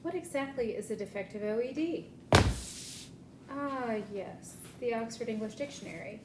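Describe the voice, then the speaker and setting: A woman's voice: normal speed, close to an everyday voice. A woman acting a bookstore returns clerk in a comic play, formal and courteous but easily exasperated. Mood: polite, patient. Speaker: actor in a student play. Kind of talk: comic stage play dialogue. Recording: roomy echo, low rumble, faint noise.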